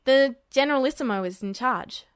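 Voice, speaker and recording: A woman's voice: high voice, a little fast, smooth, no room sound, studio quality, close to an everyday voice. Cocky, blunt young man, read by a woman. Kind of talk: storybook character dialogue.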